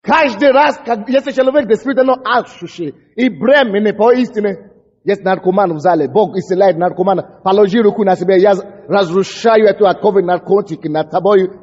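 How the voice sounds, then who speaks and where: High, impassioned pastor in an echoing hall. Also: male voice, fairly quick, husky. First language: English. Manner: impassioned, shouting prayers, stumbling over words. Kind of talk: fiery sermon. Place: church hall. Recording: dull recording in a large echoing hall.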